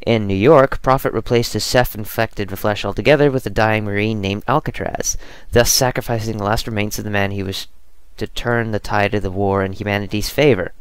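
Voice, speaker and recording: A man hosting a gaming video, reading scripted narration or casually commenting, with a creaky, smooth voice. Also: on the low side, fairly even intonation, a little fast, few pauses, dry recording.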